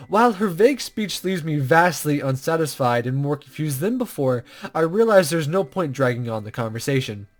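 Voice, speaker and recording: A man's voice: fairly high pitch, very animated, normal speed, few pauses. A man hosting a let's play, reading a visual novel aloud and commenting casually, often stumbling over the text.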